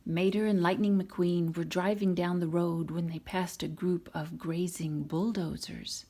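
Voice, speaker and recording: A woman narrates a children's story with expression. Her voice sits slightly low, and she reads very slowly. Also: fairly even intonation, dark tone. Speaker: storybook reader.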